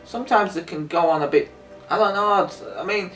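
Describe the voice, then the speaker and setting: A man's voice: high voice, fairly quick, a bit bright. Male review co-host, conversational and hesitant, thinks aloud; roomy, off-mic sound. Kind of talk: casual chat reviewing an audio drama. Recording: echoey room, distant mic, faint music behind. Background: faint music.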